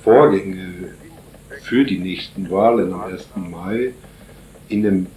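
Deep-voiced man explaining, halting with fillers. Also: mellow, echoey room, a little hiss or hum, low-quality recording. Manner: hesitant, many "äh" fillers, building long explanatory sentences. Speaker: interviewee on Paraguay politics. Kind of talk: radio interview answers.

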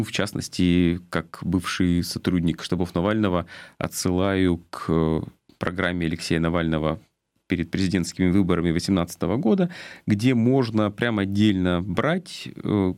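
A man giving political commentary off the cuff, earnest and deliberate, stressing his key words. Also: fairly low pitch, a little mellow, dry recording.

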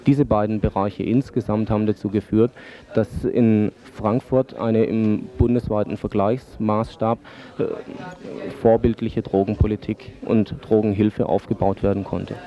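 A man giving formal, measured explanations as an expert guest in a radio interview, with dull lo-fi sound. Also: on the low side, steady tone. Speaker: drug-aid expert guest.

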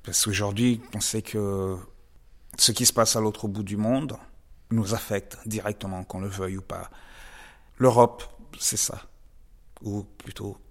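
A man from the DR Congo, a guest artist giving measured, reflective interview answers with frequent pauses and emphatic rises. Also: fairly low pitch, fairly even intonation. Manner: measured and thoughtful, pausing often, rising to emphatic stresses.

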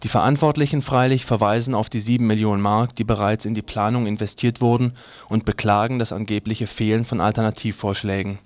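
A man's voice: fairly low pitch, steady tone, a little fast, no echo. A man reading scripted news items as a radio news presenter. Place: dry radio studio.

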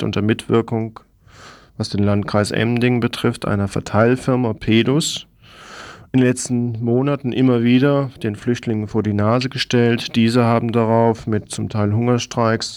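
A man's voice: mid-range voice, creaky, a little mellow, dry recording. A man presenting radio news from a script, with pointed, opinionated commentary in his delivery.

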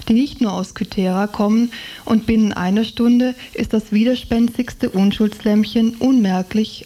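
A woman's voice: mid-range voice, warm tone. A woman reading excerpts from a novel aloud in a literary style. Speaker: reader of book excerpts.

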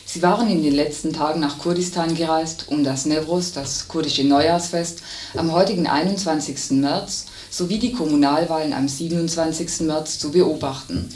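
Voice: medium pitch, steady tone, normal speed, darkish tone. A news reader delivering scripted radio news. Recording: roomy, distant, hissy radio recording.

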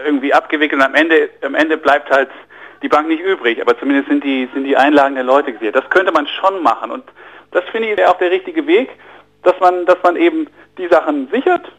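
A man's voice: medium pitch, fairly bright tone, poor audio. A man guesting on a radio interview, explaining finance in an earnest, explanatory way and often restarting and repeating words mid-sentence.

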